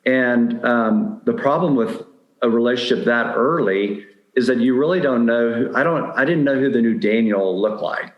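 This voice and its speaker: A man's voice: medium pitch, moderate pace, reverberant, muffled recording. A middle-aged man speaking candidly and anecdotally as a guest in a conversational interview. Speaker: podcast guest giving dating advice.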